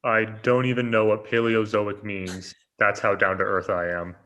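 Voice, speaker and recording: A man plays a charming, condescending middle-aged politician in a comedy read. His voice is lowish and forceful, with a folksy, smug manner. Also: flat delivery, normal speed, highs cut off. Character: smug, folksy charming fifty-year-old male rival politician. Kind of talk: comedy script table read.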